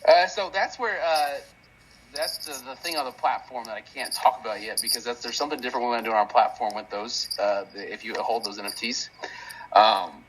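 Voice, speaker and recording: A man hosting a casual online Q&A, speaking haltingly and rambling, often restarting his sentences mid-thought. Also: medium pitch, fairly bright tone, husky, poor audio. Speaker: host of a crypto project community audio call. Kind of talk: casual unscripted Q&A chat. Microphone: online audio call.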